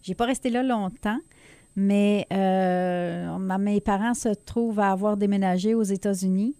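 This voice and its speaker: A woman's voice: medium pitch, steady tone, measured pace, warm tone, dry recording. A middle-aged woman with a slight Québécois French accent, a radio guest who hesitates, uses many fillers and laughs often. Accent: slight Québécois French with a light foreign tinge. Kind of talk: casual radio interview. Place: radio studio.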